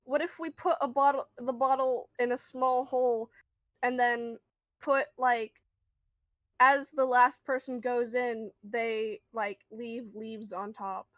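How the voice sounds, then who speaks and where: A woman's voice: on the high side, steady tone, slow, no room sound. A woman playing a tabletop role-playing game, chatting casually in and out of character in a hesitant, rambling way.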